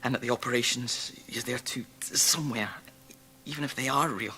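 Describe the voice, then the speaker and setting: An actor playing a nervous, haunted Victorian gentleman in a radio drama, speaking haltingly and uneasily. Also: medium pitch, a little hiss or hum, lightly acted.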